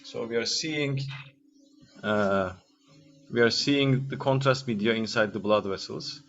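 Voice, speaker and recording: A man's voice: medium pitch, a little slow, a bit bright. A man with a Turkish accent giving an informal, interactive lecture in English as a second language, with hesitant phrasing and frequent checking questions. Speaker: radiology lecturer. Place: online video-call class. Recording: dull lo-fi online call, faint noise.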